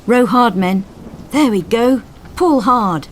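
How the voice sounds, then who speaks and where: A woman voicing a commanding lifeboat coxswain, shouting urgent orders to his crew in a dramatic story. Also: very high-pitched, lively intonation, takes it slowly, a little hiss or hum, voice pushed up, full character voice. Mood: urging. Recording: storm sound effects behind voice.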